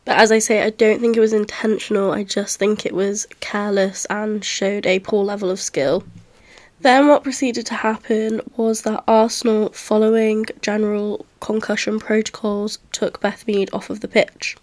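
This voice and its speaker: A woman's voice: mid-range voice, flat delivery, moderate pace, darkish tone. Podcast host, female. Blocked-nose sound from a cold, medium register, level delivery, semi-scripted football recap. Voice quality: nasal. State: congested with a cold.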